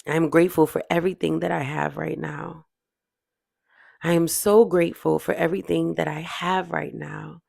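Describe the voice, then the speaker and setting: Meditation guide, mid-pitched and heavily creaky, soothing, repetitive affirmations with long pauses, close dry sound. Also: level tone, a little mellow, dry recording.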